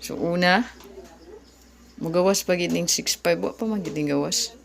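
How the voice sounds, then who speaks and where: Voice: medium pitch, normal speed. A vlogger with a Visayan Filipino accent explains things casually and informally, repeating key words and addressing viewers politely. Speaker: vlogger giving number tips. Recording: clearly noisy amateur recording.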